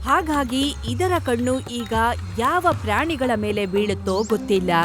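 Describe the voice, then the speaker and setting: A woman's voice: on the high side, unhurried, faint music behind. A woman narrating a scripted children's animal story as its storyteller.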